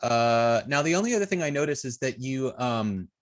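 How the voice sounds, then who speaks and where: A man's voice: medium pitch, expressive, normal speed, dry recording, studio quality. A man teaching an informal hands-on coding lesson, thinking aloud hesitantly and trailing off mid-sentence. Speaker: web design instructor.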